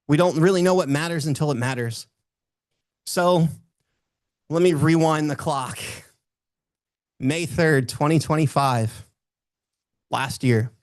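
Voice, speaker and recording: A man with a booming voice speaking as a motivational speaker, telling personal stories and repeating phrases for emphasis. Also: slow, long pauses, no echo, clean studio sound. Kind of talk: motivational talk with personal storytelling.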